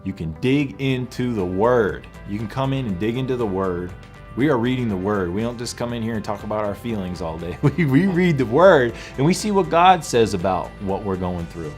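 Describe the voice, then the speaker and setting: A twenty-six-year-old man speaks with emphatic enthusiasm in a low voice. He talks with conviction, building his points up. Faint guitar music plays beneath. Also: expressive, normal speed. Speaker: church young adults group member. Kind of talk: testimonial interview. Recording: soft music underneath.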